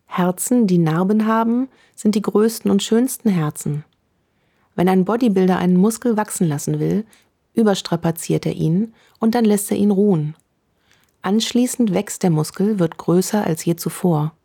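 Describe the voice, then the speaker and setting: A woman guiding a meditation in a slow, calm, soothing voice, speaking affirmations to the listener. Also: medium pitch. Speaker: meditation guide. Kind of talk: guided meditation.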